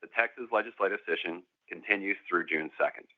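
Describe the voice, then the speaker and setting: A man with a fairly low voice comes through a narrow, phone-like line. He reads scripted corporate remarks in a formal, measured manner, as an executive addressing investors on a conference call. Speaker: company executive presenting.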